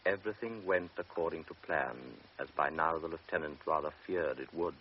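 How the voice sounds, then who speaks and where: Deep-voiced male narrator. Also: brisk. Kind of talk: scripted narration. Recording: dull old radio recording with faint hiss.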